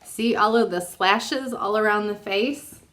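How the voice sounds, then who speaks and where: A woman reading a children's storybook aloud at storytime, expressive and often putting questions to her young listeners. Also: mid-range voice, a little slow.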